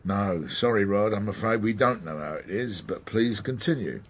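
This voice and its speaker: Radio drama role: senior detective in middle age, lowish and husky, measured, courteous, dry in questioning. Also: male voice. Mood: measured, probing. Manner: polite, dry, steady questioning. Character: measured, dryly polite senior male police detective. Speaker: actor. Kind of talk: naturalistic radio drama acting.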